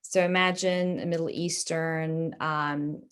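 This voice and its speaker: A woman's voice: sits low, fairly even intonation, slow pace, clean tone. A woman in her thirties from Dubai, speaking in a plain, explanatory way as she answers interview questions. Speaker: interview guest, family business executive.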